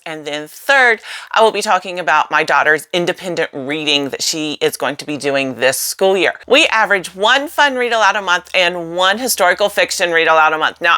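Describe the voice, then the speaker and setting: A woman's voice: medium pitch, pushed and bright. A middle-aged woman presenting a homeschool vlog in an enthusiastic, emphatic, organized way. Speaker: homeschool video host. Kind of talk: scripted-sounding vlog presentation of book picks.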